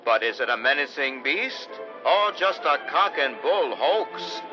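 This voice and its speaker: An actor playing a grandly mysterious, melodramatic announcer and narrator of an old-time radio serial. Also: male voice, medium pitch, expressive, unhurried, close to an everyday voice. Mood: suspenseful. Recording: dull vintage radio recording over loud music.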